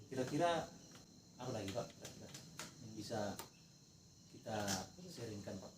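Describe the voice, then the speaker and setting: A man's voice: mid-range voice, animated, normal speed. A male teacher with a North Sumatran Indonesian accent coaches a colleague supportively, often repeating his encouraging affirmations. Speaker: teacher acting as peer coach. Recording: distant echoey recording, insect noise.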